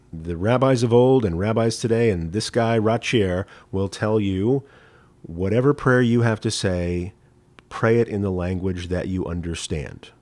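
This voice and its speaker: A man's voice: on the low side, darkish tone. A man, a rabbi, answering viewers' questions on a live stream in a conversational teaching manner, often checking in with "right?". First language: English. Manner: conversational teaching, frequent "right?" asides and restarts.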